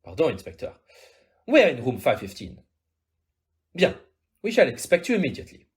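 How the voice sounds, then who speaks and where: A man's voice: mid-range voice, huge pitch swings, fairly quick. A courteous Belgian detective, probing and sure of himself, with a French-flavored Belgian accent. His formal, exact phrases leap dramatically up and down in a mid-range voice, sprinkled with French interjections in the theatrical manner of an audio play. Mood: brisk, formal.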